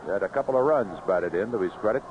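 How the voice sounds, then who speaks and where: A man's voice: on the low side, animated, fast. A man doing live radio play-by-play of a baseball game, conversational and folksy between the calls. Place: outdoor ballpark broadcast booth. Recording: dull, noisy vintage 1970s radio broadcast.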